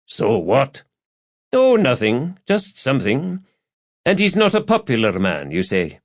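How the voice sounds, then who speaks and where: Scruffy male reporter, voiced by a man narrator: sharp, swaggering, prying, with very animated, raised intonation. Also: mid-range voice, measured pace, lo-fi sound, voice pushed up. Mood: probing. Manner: probing, blunt, swaggering.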